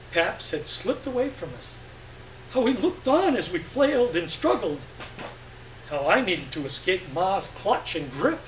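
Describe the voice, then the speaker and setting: A man reads literary fiction aloud on stage with deliberate, expressive phrasing. His voice is fairly high and very unhurried, picked up from a distance in a roomy space. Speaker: story reader. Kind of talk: dramatic reading of literary fiction to a live audience. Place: live stage reading event. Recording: echoey room, distant mic, faint noise.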